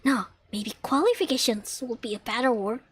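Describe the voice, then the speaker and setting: A woman voicing a composed young engineer student from an anime game, measured and explanatory. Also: a little high, expressive, a bit bright, slightly rough, pitched below the performer's own voice. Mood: calm, measured. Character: composed young female engineer student, matter-of-fact senior.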